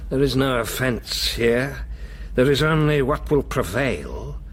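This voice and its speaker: An actor playing a powerful world controller in a radio drama, measured, condescending and imperious. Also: male voice, medium pitch, animated, husky. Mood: quiet menace. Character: coldly menacing powerful male world controller.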